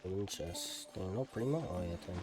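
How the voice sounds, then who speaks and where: A man's voice: low-pitched, fairly quick. A man doing a casual, slangy live reaction to a game, offhand in manner. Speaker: gaming streamer reacting to a story quest. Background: game music.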